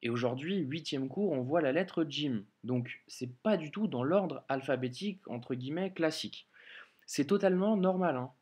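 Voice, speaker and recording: A man's voice: a little high, animated, darkish tone, breathy edge. A French-speaking man teaching an online lesson on reading Arabic letters, explaining patiently with frequent hesitations. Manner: patient, explains slowly, many hesitations and "euh".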